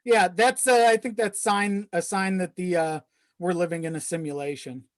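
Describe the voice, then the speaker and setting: A man's voice: high voice, fairly even intonation, moderate pace, no room sound, studio quality. A man chatting casually as a podcast guest, joking in a wry, hesitant way. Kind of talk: casual comedic chat.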